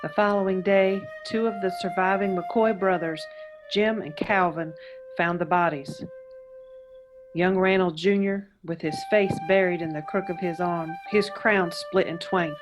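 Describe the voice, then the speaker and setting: Radio drama chronicler, played by a woman: grave, deliberate, somewhat low register, grim literary narration over music. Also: steady tone, natural voice, rough recording. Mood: grave, dramatic. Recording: flute music behind the voice.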